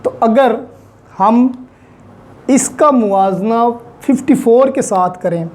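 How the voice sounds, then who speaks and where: A law lecturer with a Pakistani accent explains terms deliberately, repeating them and mixing in English legal vocabulary. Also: mid-range voice, a little mellow.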